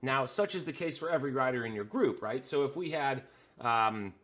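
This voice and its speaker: A man's voice: medium pitch, expressive, moderate pace, fairly bright tone. A man hosting a hands-on tutorial, explaining a setup step by step with frequent "uh" fillers while pointing at the devices in front of him. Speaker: host.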